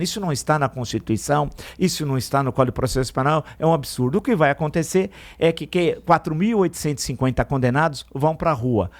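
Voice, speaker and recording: A man's voice: on the high side, darkish tone. A middle-aged man with a Brazilian Portuguese accent giving indignant, emphatic opinion commentary on a morning radio news show, often wandering off on tangents. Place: radio studio.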